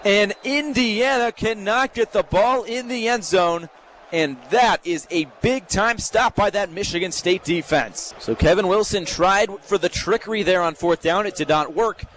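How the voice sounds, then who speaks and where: A man's voice: high-pitched, unhurried, a bit bright. An American man doing live radio play-by-play in short, clipped announcer phrases, as a sportscaster. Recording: noisy live stadium radio broadcast. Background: stadium ambience.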